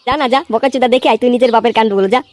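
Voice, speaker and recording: A woman voicing a gossipy, foul-mouthed young man in a comedy cartoon, tattling in a crude, excitable way. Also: on the high side, very fast, no room sound, natural voice. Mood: annoyed, insistent. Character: gossipy, foul-mouthed bald young male club friend.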